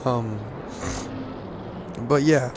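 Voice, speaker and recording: A hoarse teenage man from Louisiana, rambling casually with lots of fillers and laughs while commenting on a game at a desk mic. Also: medium pitch, extremely slow, background noise. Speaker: gaming commentator.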